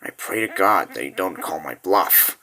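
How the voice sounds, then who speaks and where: A man's voice: sits low, lively intonation, measured pace, bright, forceful tone, voice pushed down, exaggerated voice. A man voicing a weary, brooding older warrior in a comic dub, muttering with self-doubt.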